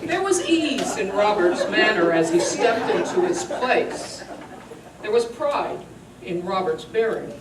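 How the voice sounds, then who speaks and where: A woman giving a dramatic live poetry recitation in a theatrical, emphatic, deliberate storytelling delivery. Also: mid-range voice, very animated, a loud crowd. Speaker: performer giving a dramatic reading. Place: roomy indoor venue, recorded at a distance. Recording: echoey, noisy live room, distant mic.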